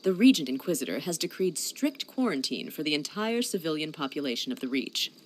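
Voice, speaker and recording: A woman playing a composed noble sea captain in a fantasy game cutscene, speaking in a measured, formal way. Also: low-pitched, very animated, a little hiss or hum, poor audio. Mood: cautioning.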